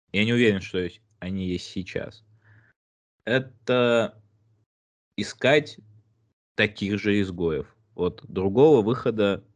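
A man in a casual discussion, speaking in a measured, hesitant way as he searches for words. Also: on the low side.

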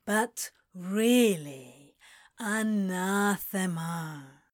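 Lady doing a grumbly sly snake guy, real slow drawl, audio drama style. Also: medium pitch. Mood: mild, needling. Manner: drawling, draws out vowels. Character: sly, grumbling male snake, slouchy and evasive. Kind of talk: acted fiction podcast dialogue.

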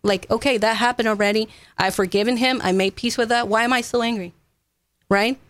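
A woman's voice: a little high, steady tone, fairly quick, fairly bright tone, dry recording. A woman from Ecuador, a native Spanish speaker, talking earnestly as an interview guest and explaining her work in a conversational way with frequent check-in tags. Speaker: guest, massage therapist.

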